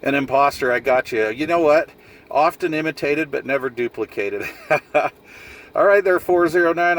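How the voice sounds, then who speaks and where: A man's voice: medium pitch, fairly bright tone. Folksy man on CB radio, off-mic. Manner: folksy, jokey. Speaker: CB radio operator filming a vlog. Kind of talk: casual CB radio chat with radio slang. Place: outdoors in a vehicle on a hillside. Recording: distant mic with background noise.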